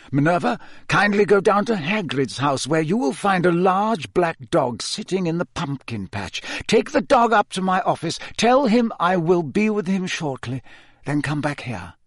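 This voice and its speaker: Man voicing an ancient commanding wizard headmaster, raised pitch. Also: no room sound, clean studio sound, full character voice. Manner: curt, authoritative, measured.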